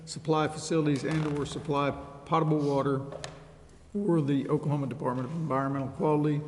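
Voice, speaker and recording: Board guy reading a motion, steady, slightly high voice, roomy hall. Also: fairly quick, a little hiss or hum. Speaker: board member. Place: city council chamber.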